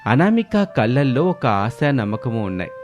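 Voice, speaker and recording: A man's voice: mid-range voice, very animated, normal speed, darkish tone. A man narrating a scripted moral story as the storyteller. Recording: music bed under the voice.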